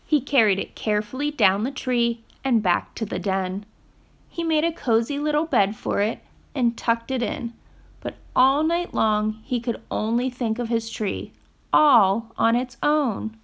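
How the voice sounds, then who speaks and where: Slow, high-voiced woman reading a storybook. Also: a bit bright. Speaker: storytime reader. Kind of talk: expressive children's storybook narration.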